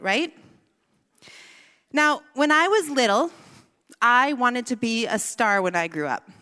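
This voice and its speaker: A woman's voice: a little high, a bit bright. A woman speaker telling a playful childhood story to a women's gathering before turning to scripture and prayer. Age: adult with a son. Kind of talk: sermon. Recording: echoey hall.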